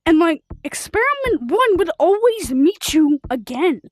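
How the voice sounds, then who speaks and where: A woman chatting casually and rambling as a livestreamer playing a video game, telling stories in an informal, excitable way. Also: extremely high pitch, measured pace. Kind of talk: rambling casual livestream chat while gaming.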